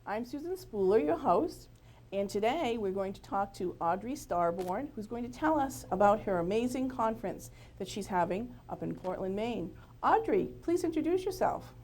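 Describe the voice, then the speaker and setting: A woman's voice: mid-range voice, sing-song, moderate pace, darkish tone, slight background noise. A woman speaking as the host of an interview show, in a conversational style.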